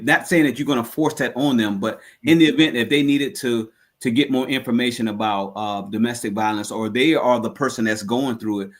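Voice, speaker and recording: A man interviewing on a radio show in a conversational way, haltingly restarting his questions. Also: medium pitch.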